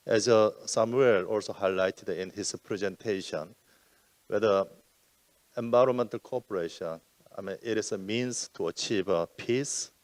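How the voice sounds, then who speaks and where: A man speaking on a roundtable panel about environmental cooperation, halting often and correcting himself mid-sentence. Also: sits low, no echo. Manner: halting, many fillers and self-corrections. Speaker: UN environmental program officer.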